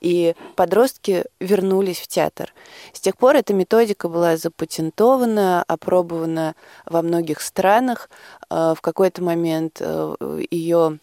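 A female radio guest explains her subject in an interview. Her voice is soft-edged and fairly low, recorded dry in a studio. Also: measured pace, no room sound.

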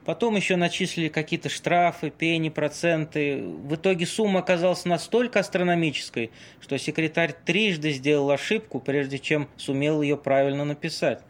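A man playing a worldly Casanova, theatrical and fairly high-voiced. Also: steady tone, natural voice. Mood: worldly, dramatic storytelling. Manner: dramatic storytelling with weighty pauses. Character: summoned Casanova, worldly theatrical Venetian seducer. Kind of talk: amateur audio play acting.

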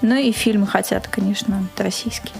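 A woman's voice: medium pitch, fairly even intonation, normal speed, vocal fry, a little mellow, soft background music. A woman being interviewed as an event organiser, talking at length in a casual way and rephrasing for emphasis.

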